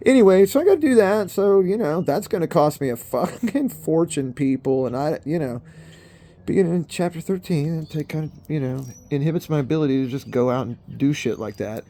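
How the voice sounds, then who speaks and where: Laid-back fifties man, dark mellow voice. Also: on the high side, expressive, a little fast. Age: 50s. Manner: laid-back, swears often, keeps calling the viewers bro and people. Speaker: vlogger. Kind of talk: rambling casual monologue. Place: in a car.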